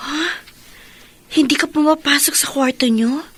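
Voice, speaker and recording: An actress with a Filipino accent playing an affectionate, informal younger sister in a radio drama. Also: female voice, high voice, unhurried. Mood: gentle, supportive. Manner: expressive, informal.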